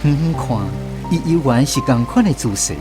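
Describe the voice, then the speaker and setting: An actor playing a reflective former soldier, narrating a radio drama expressively with a Taiwanese accent. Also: male voice, mid-range voice, normal speed, over music, lightly acted. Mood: wistful. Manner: expressive storytelling.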